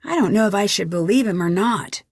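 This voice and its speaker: A woman's voice: medium pitch, lively intonation, fairly quick, a little mellow, breathy edge, no echo. Inquisitive young American girl detective, dry sound. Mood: friendly, coaxing. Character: inquisitive, determined young female amateur detective. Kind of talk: scripted video game dialogue.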